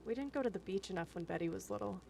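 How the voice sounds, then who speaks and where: A woman's voice: medium pitch, animated, very fast, vocal fry, darkish tone, slight background noise. An actress playing a driven, defensive wife and mother in an audio drama, intense and emotional. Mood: weary, pleading.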